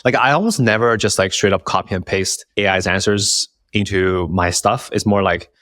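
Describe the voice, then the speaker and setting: Deep-voiced male podcast host, informal. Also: normal speed, fairly bright tone, no room sound, clean studio sound. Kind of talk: casual chat.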